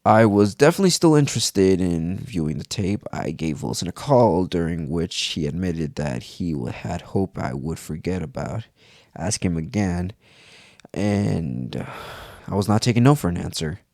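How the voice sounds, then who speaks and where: A man's voice: low-pitched, expressive, normal speed, darkish tone, no room sound, studio quality. A man narrating a scripted first-person horror story as if it were his own account.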